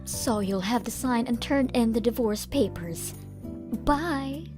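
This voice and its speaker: A woman's voice: on the high side, takes it slowly. A woman voicing a brazen, taunting sister in a manga dub. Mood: smug, taunting. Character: brazen, smug younger-adult woman, scheming husband-stealing sister. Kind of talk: manga dub dialogue acting. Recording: music bed under the voice.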